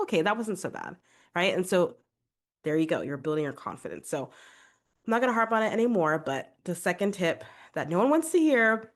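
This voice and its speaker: A young adult woman, a vocal coach, giving chatty, encouraging podcast advice with a congested voice. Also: on the low side. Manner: chatty, encouraging, fillers like "like" and "right?".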